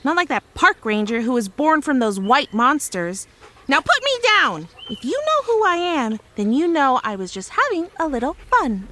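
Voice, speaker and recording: A woman's voice: high voice, sing-song, normal speed, bright, forceful tone, a little hiss or hum, full character voice. A woman voicing a small, mischievous, indignant dwarf trickster creature in an audio drama, protesting in a whiny, theatrical way. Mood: proud, defensive.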